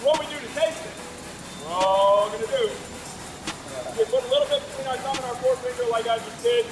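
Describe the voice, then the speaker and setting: A man shouts in a high, lively voice to be heard over a loud factory. His voice rings around an echoey room and sounds far from the mic, with music underneath. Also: fairly quick. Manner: loud, yelling over noise. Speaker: factory worker leading an inspection. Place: noisy indoor factory floor.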